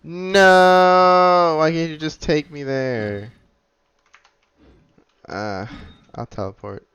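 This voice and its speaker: A woman's voice: low-pitched, extremely slow, fairly bright tone, clean tone. A woman giving casual, playful gameplay commentary as a streamer, often exclaiming, on a lo-fi mic. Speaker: gaming streamer.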